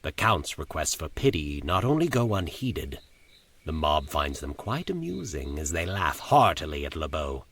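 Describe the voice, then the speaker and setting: A man as a sly, very deep, menacing stranger. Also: very animated, moderate pace, a bit bright, slight background noise, full character voice. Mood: savoring, intense. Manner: dreamy, insinuating, commanding. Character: sly menacing cloaked stranger, sadistic, theatrical, taunting. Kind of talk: horror audio story dialogue.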